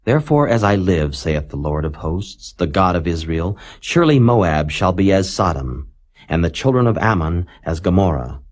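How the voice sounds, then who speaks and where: A man reading scripture aloud in a solemn, measured way, rising dramatically on the warnings. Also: sits low, very animated. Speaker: Bible reader.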